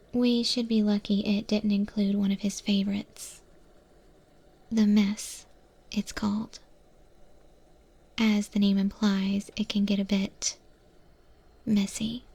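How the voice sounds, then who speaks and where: A woman playing a patient monster woman who mentors a newcomer in a restaurant kitchen, in a hushed, breathy ASMR roleplay whisper. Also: medium pitch, little pitch movement, exaggerated voice. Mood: hushed, measured. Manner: slow, hushed, gently instructive.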